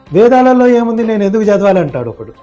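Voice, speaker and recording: A man's voice: high voice, sing-song, fast talker, warm tone, soft background music. A man speaking as a podcast guest, explaining his opinions point by point in a didactic, conversational way.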